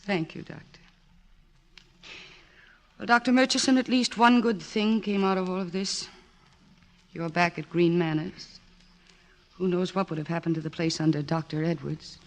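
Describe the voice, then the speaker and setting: An actress playing a composed, determined young woman psychiatrist in an old-time radio drama, speaking in a measured, articulate, earnest way. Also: on the low side, natural voice. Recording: restored vintage radio, orchestral music behind.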